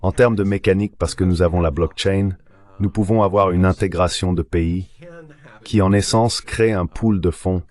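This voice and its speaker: A man's voice: low-pitched, fairly even intonation, normal speed, a little mellow, close to an everyday voice. A man delivering an explanatory voice-over translation for an interview guest. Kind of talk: dubbed interview commentary, explanatory.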